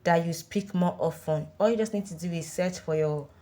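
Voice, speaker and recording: A woman's voice: on the low side, moderate pace, mellow. A Nigerian woman talking viewers through an app step by step, repeating her warnings with insistent emphasis. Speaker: online earning tutorial host. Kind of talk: step-by-step app tutorial voice-over.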